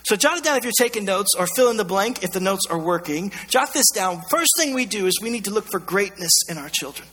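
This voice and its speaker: A man's voice: high-pitched, expressive, fast, fairly bright tone, slightly rough. A man with an American accent preaching a sermon in an emphatic, colloquial way, repeating phrases, his voice scratchy and strained. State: scratchy, strained voice going hoarse. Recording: long hall reverb.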